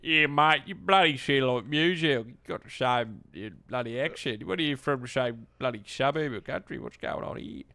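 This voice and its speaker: A man's voice: mid-range voice, moderate pace, vocal fry, few pauses, bright, forceful tone, no room sound. A young Australian man co-hosting a podcast, chatting and joking in a matey, casual way. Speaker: podcast co-host. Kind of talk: casual chat.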